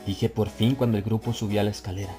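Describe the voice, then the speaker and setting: A man reading a horror story as an audiobook narrator, theatrical, building tension with swells in pitch and loudness. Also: fairly even intonation, fast, faint music behind. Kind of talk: dramatic scripted narration.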